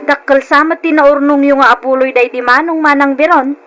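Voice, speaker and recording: An actress playing a grasping, insistent sister-in-law who makes a show of sympathy, in an old-time Ilocano radio drama. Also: female voice, high-pitched, fairly even intonation, brisk, music in the background, lightly acted. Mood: calculating.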